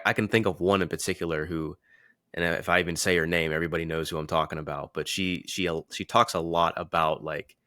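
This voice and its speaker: A man with a very deep yet bright voice talks like a podcast host in casual conversation. His wording is informal and slangy, and the recording is dry and close. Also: dry recording. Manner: informal, slangy, occasional swearing. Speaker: podcast host and men's confidence coach.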